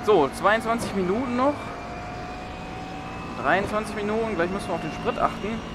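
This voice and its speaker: Young male sim racer, high voice, slightly stuffy from a cold, loud engine roar behind his headset mic. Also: moderate pace. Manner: thinks aloud, calculating fuel and laps. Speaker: sim racing streamer. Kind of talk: live racing commentary while driving. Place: home streaming setup, indoors. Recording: loud engine noise from game.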